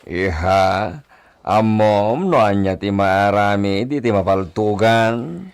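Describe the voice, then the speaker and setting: A man's voice: fairly low pitch, clean tone. An actor playing a hacienda master and doting father, firm but indulgent, with a Filipino accent in a radio comedy-drama. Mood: concerned, indulgent. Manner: expressive, deliberate, indulgent.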